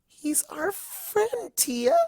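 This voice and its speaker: A man voicing a meek, frightened little filly, a younger sister with a squeaky voice and halting way of protesting, in a story reading. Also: big pitch swings, darkish tone, no echo, heavily acted. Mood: tearful, pleading.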